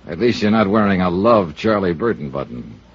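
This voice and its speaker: A wry, cool insurance investigator from a 1950s radio drama. His low voice moves with lively inflection. It comes through a dull, aged broadcast recording with music. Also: fairly quick, husky, heavily acted. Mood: probing, dry. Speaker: lead actor and narrator. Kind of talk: 1950s radio detective acting, first-person narration.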